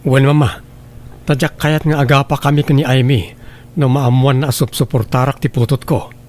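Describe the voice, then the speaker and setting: A man's voice: medium pitch, steady tone, moderate pace, a little mellow, close to an everyday voice. A man with an Ilocano accent playing a proud, unbending businessman father in a radio drama, firm and dismissive. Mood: defensive, firm.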